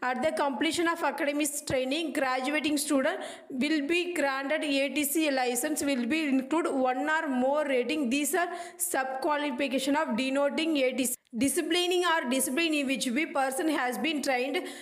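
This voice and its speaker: A woman reading a scripted lesson aloud as a lecturer, in a halting, choppy, phrase-by-phrase way. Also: high-pitched. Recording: echoey room.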